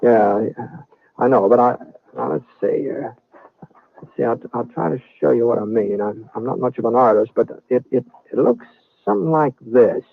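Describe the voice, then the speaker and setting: An American actor playing a mild-mannered, thoughtful cowboy in an old-time radio western, speaking in a halting, folksy way. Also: male voice, mid-range voice, lively intonation, gravelly, slightly rough. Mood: halting, probing. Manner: hesitant, halting, folksy drawl. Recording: dry, muffled old radio recording.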